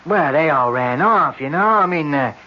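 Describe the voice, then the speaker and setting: An actor playing a plain-spoken working-class street eyewitness in a radio drama, talking in a halting, rambling, colloquial way. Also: male voice, on the high side, animated, close to an everyday voice. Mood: flustered, earnest. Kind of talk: 1970s radio drama acting. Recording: dull old radio broadcast recording.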